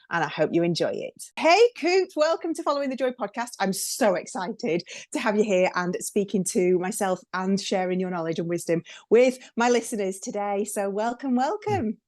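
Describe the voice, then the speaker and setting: A woman's voice: mid-range voice, expressive, a little fast, few pauses, a little mellow, dry recording. A woman hosting a podcast, introducing her guest in a chatty, enthusiastic way with lots of fillers. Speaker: podcast host.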